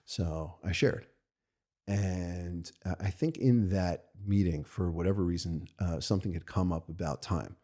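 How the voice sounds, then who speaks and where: Low, warm-toned American man talking recovery. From United States. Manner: thoughtful and halting, many restarts and "right?" tags. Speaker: podcast guest, rabbi. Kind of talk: candid conversational storytelling.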